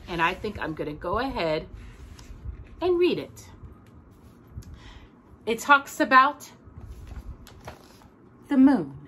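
Casting: middle-aged woman, storytime reader, lively swooping pitch, deliberate dramatic phrasing. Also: slight background noise. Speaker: storytime host reading aloud. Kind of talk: expressive children's storybook reading. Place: small room at home with bookshelves.

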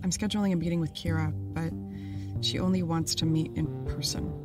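A woman's voice: deep voice, steady tone, brisk, over loud music, lightly acted. A woman playing a wary, sensible podcast partner in a scripted audio drama. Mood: worried.

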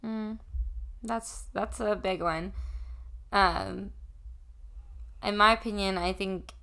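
A woman's voice: mid-range voice, steady tone, creaky, pushed and bright. A woman in her early twenties, a podcast guest, speaking earnestly and reflectively in casual conversation. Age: early 20s. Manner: earnest, reflective, with pauses and restarts. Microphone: desk condenser mic.

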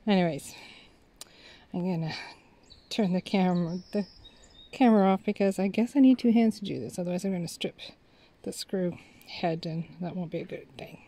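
A woman's voice: on the low side, moderate pace, long pauses, dark tone. A middle-aged woman chatting casually to the camera as she works outdoors, laughing easily and explaining her work. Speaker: homestead vlogger. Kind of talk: casual chat to camera while working. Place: outdoors in a farmyard garden. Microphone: camera mic, distance varies. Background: outdoor ambience, animals.

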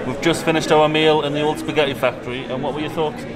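A man's voice: fairly high pitch, fairly even intonation, fast. A man vlogging casually, chatting about his trip as he goes. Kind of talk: casual travel vlog chat. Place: inside a busy restaurant. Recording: loud music, busy restaurant chatter. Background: music and crowd chatter.

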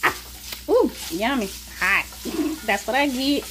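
A woman's voice: fairly high pitch, expressive, slow pace. A middle-aged woman with a Southern US accent, chatting casually while helping with cooking in a home kitchen. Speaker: co-host and helper. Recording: noisy kitchen with sizzling food. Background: frying and stirring sounds.

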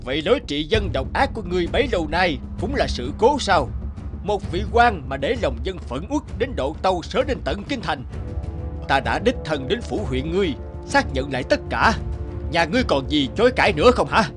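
A man playing a stern, authoritative king in an animated folk tale, imperious and declamatory. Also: on the high side, brisk, over music. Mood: stern anger. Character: stern, authoritative king judging a corrupt official.

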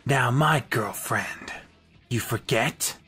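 A man voicing a brooding, aloof hedgehog anti-hero in a cartoon comic dub, terse, cold and deadpan, with gravel in the voice. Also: medium pitch, slow, soft background music, heavily acted. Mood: defiant. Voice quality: husky, gravelly. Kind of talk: fan comic dub acting.

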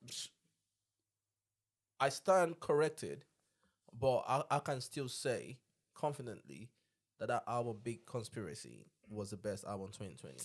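A man's voice: mid-range voice, sing-song, measured pace, long pauses. A man, chatting casually and emphatically as a podcast host, repeating himself in slang on a close studio mic. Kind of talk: casual chat. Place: small indoor podcast studio. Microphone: close studio mic on boom arm.

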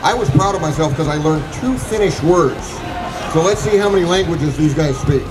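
A man's voice: fairly high pitch, lively intonation, moderate pace, low-quality recording. A middle-aged man hosting a fan event, upbeat and announcing. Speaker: event host. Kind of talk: event emcee. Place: indoor rink event stage. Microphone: handheld mic.

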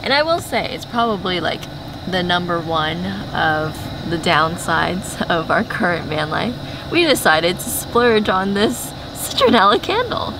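A woman's voice: fairly low pitch, sing-song, measured pace. A woman in her twenties chatting casually as a travel vlogger. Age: 20s. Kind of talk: casual vlog chat. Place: small camper van and open beach. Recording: clear background noise, on-location camera audio.

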